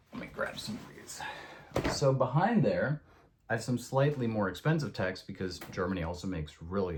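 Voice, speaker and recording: Chatty man with a low voice. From born in the United States, raised in Europe. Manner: chatty, enthusiastic, many fillers and asides. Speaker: video creator giving a bookshelf tour. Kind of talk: casual spoken vlog. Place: at home by a bookshelf.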